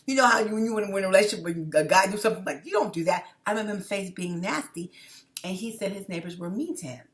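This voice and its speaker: A woman's voice: medium pitch, fast. Rambling woman talking to her viewers. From New York City. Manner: rambling, restarts often, keeps asking the viewers "okay?". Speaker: vlogger. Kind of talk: personal monologue to viewers.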